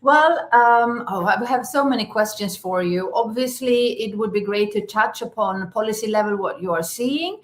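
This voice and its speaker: A woman's voice: medium pitch, normal speed, hardly any pauses. A woman hosting a live stream podcast, welcoming her guest in an enthusiastic, effusive way. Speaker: podcast host. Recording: echoey room.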